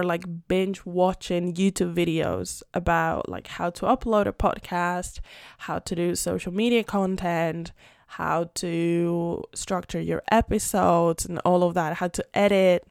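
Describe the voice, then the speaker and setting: Gravelly-voiced Spanish woman chatting casually. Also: on the low side, fairly even intonation, unhurried, a little mellow, no echo, clean studio sound. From Spain. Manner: chatty, frequent fillers like "like" and "um". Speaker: podcast host and English teacher. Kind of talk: casual solo podcast chat.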